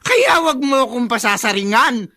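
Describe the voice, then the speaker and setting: A woman's voice: on the high side, expressive, normal speed. A woman playing a domineering middle-aged mother-in-law with a Filipino accent, nagging and accusatory, in a radio drama. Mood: offended, accusatory. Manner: nagging, accusatory, sharp-tongued.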